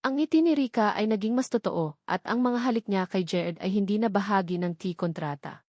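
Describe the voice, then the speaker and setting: A Filipino woman narrates a scripted drama as a storyteller. Her voice sits at a middling pitch, and the sound is very dry, with hardly any room in it. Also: moderate pace, darkish tone, dry recording.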